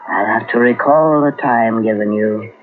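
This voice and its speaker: An actor playing the calm, solemn ghost of a man who died a year ago, speaking in a slow, measured way, in 1940s radio drama style. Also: lowish voice, natural voice. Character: ghostly dead man walking between worlds, calm, solemn. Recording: tinny old radio transcription with hiss.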